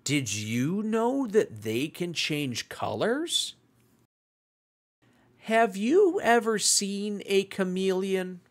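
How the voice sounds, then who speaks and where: A read-along host reading aloud for young children in a deliberate, exclamatory and animated way. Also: mid-range voice.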